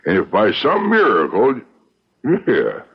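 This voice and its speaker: A man playing a talkative, sententious frontier townsman in a radio drama, cautioning his friend in a husky, folksy, insistent way. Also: fairly low pitch, huge pitch swings, slow pace, exaggerated voice. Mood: warning. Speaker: actor. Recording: muffled radio drama recording.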